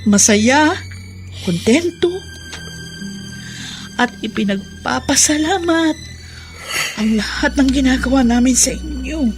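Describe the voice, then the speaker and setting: A woman's voice: high-pitched, lively intonation, takes it slowly, pauses often, soft background music, exaggerated voice. An actress playing a devoted grown Filipino daughter in a radio drama, tender, earnest and emotional as she reassures.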